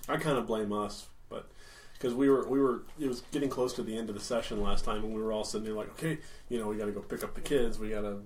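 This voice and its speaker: A man playing a tabletop game, chatting casually and telling jokes in an animated way. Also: lowish voice, fast. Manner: animated, joking. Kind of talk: casual chat. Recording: clear background noise.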